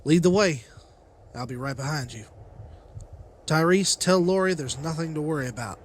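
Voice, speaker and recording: A man's voice: on the high side, natural voice. A man playing an anxious, determined father and survivor leader in a motion comic, speaking with urgency. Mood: urgent, determined. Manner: urgent, halting. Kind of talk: dramatized motion comic dialogue.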